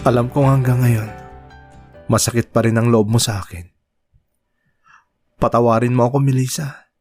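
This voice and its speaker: A man's voice: medium pitch, fairly even intonation, normal speed, natural voice. A man playing a remorseful unfaithful husband who pleads for forgiveness. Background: music.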